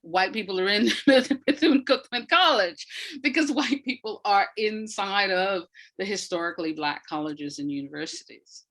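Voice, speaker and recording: An older woman speaking reflectively as an interview guest, in a measured, deliberate way with emphasis on key words. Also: medium pitch, a bit bright. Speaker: guest, playwright and retired academic.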